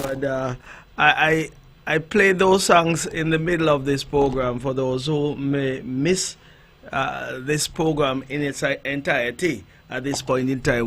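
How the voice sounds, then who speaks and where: Radio host guy in the studio, average pitch, rambly and laughing, slight hiss. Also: fairly bright tone. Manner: chatty, laughing, with fillers and restarts. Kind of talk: live radio talk. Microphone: headset.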